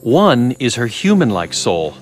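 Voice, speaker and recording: Wry scientist in a game, very swoopy intonation, teasing pauses, soft music behind. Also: male voice, medium pitch, sing-song. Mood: musing. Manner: musing, teasing, theatrical pauses. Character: wry, curious, evasive male scientist doctor. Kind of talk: video game voice acting. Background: faint music.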